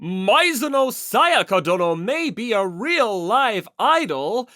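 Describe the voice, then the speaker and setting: A woman voicing an excitable, pompous young male anime otaku for an audiobook, with formal, drawn-out phrasing. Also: a little high, dry recording, studio quality, heavily acted. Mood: boastful. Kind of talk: audiobook character acting.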